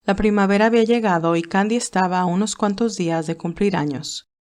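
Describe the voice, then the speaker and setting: A woman narrates a scripted audiobook story. Her voice is of average pitch, and she reads prose passages as the storyteller. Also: brisk, darkish tone.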